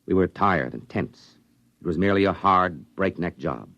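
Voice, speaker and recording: An actor playing a thoughtful male astronaut who narrates his moon landing in a 1950s radio drama, earnest and halting. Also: sits low, vocal fry, muffled recording, noisy background. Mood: wistful. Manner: earnest, reflective, halting with small stammers. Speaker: narrator and crewman.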